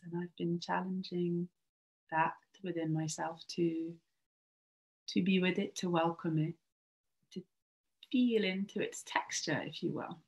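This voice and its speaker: A woman guiding a yoga nidra relaxation in a soothing, breathy manner that often lapses into a near-whisper. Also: lowish voice, poor audio. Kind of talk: guided relaxation meditation.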